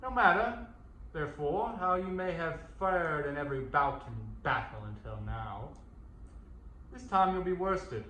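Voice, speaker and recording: A man's voice: on the high side, animated, measured pace, pushed and bright. A man playing an envious, scornful warrior, declaiming taunts in theatrical verse with emphatic delivery. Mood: scornful, taunting. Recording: roomy echo, faint noise.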